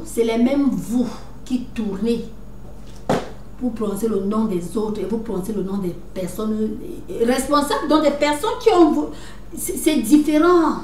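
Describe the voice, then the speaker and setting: A fairly high-voiced woman lectures her viewers, breaking off and starting over, tossing in "right?" to check they follow. She sits a bit back from the mic in an echoey room, with faint noise underneath. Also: recorded from a distance. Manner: lecturing, repeats "n'est-ce pas", many restarts. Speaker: video commentator. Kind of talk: casual monologue to viewers.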